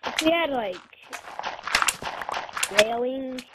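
A woman co-playing a game in casual chat, hoarse and soft-spoken with trailing sentences. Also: sits high, slow, very noisy. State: hoarse, losing voice. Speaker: co-player. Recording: loud game music behind voice.

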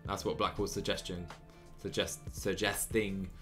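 A young man in his twenties streaming a cycling management game from a small room on a headset, chatty and excitable, laughing often while reading chat. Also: deep voice, moderate pace, over music. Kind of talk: live gameplay commentary and chat reading.

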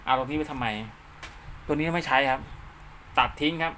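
A man's voice: a little high, fairly even intonation, a little fast, bright, forceful tone, a little hiss or hum. A man giving an informal hands-on tutorial step by step, often checking that the listener follows. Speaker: instructor, channel host.

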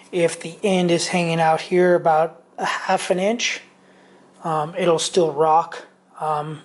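A man's voice: high voice, a little mellow, slight background noise. A middle-aged man reviewing a power tool in his home garage workshop, rambling loosely with many ums and restarts. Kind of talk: casual tool review vlog.

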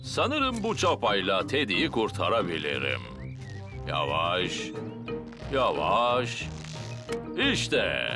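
Cartoon pig dad: middle-aged, easygoing, deep voice over clear background music. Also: male voice, very animated, takes it slowly, long pauses, exaggerated voice. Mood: careful. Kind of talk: dubbed preschool cartoon acting. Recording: music behind the voice.

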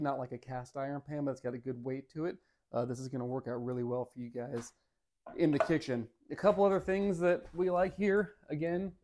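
A man's voice: medium pitch, dark tone. An American man in his thirties giving a casual, upbeat product review and demo to camera in an easygoing, folksy way. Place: home dining room and kitchen.

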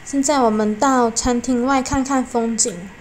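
A woman giving a casual, unhurried food tour of a hotel buffet, naming the dishes one by one. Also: on the high side, steady tone. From Malaysia. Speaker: food vlogger. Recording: restaurant noise behind the voice.

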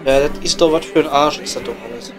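A man acts out a gruff but chummy coal miner from an improvised comedy audio play. His voice sits high for a man, with a Ruhr German accent and blunt, slangy pub banter. Faint music plays behind him. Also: quick pace, lightly acted. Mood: gruff teasing.

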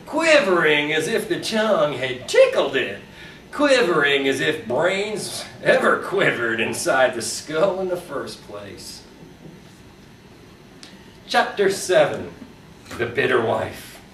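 Male author, theatrical and wry horror storyteller, lively pitch movement, echoey live room with faint noise. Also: slow pace. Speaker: author reading his own fiction. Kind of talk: dramatic live reading of short horror fiction. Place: live reading at a convention, indoors. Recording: roomy, distant live recording with background noise.

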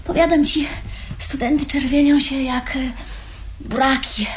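A woman's voice: high-pitched, steady tone, unhurried. An actress playing a bold, teasing, impulsive teenage girl in a theatrical radio drama. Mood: playful, bossy. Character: bold, teasing, mischievous teenage girl, eager for grown-up secrets. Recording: noisy 1970s radio play recording.

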